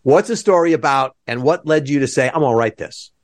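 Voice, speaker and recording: A man's voice: medium pitch, a little fast. A man hosting a theater podcast, enthusiastic and rambling as he introduces his guests. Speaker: podcast host. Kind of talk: casual interview.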